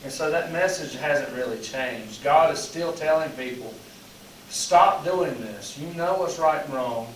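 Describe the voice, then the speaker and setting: A hoarse man, a pastor casually leading a Bible study, talking in rambling sentences full of "you know". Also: a little high, measured pace, a little hiss or hum. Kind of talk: casual teaching and group discussion. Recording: echoey room, mic at a distance.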